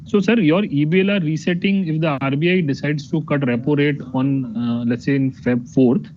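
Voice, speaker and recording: A man's voice: on the high side, steady tone, normal speed, darkish tone. An Indian man, a financial analyst, politely probing management with questions on an earnings call. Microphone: conference call line. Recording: dull noisy conference phone line.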